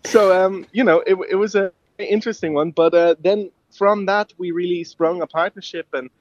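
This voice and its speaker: A man's voice: high voice, steady tone, normal speed, no room sound, dull audio. A young man from Ireland, a guest inventor in a radio interview, talking eagerly and laughing easily. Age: young adult.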